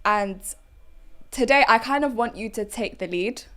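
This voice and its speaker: A young woman hosting a podcast, chatty and quick to laugh, using casual slang. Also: a little high, bright, forceful tone. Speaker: podcast host.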